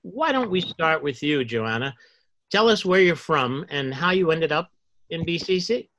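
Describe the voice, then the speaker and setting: A man's voice: on the high side, expressive, unhurried, a bit bright, a little hiss or hum. A man hosting a podcast, asking guests questions in a conversational interviewing style. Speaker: podcast host.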